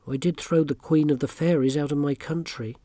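A woman voicing a plain, earnest young girl witch who speaks quietly and a little unsure of herself. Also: low-pitched, fairly even intonation, fairly quick, dry recording, clean studio sound.

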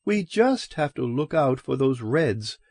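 A man's voice: medium pitch, very animated, unhurried, a little mellow, husky, no echo, clean studio sound, pitched above the performer's own voice, full character voice. A man voicing a friendly, cautious boarding-house landlady who talks in a chatty, neighborly way.

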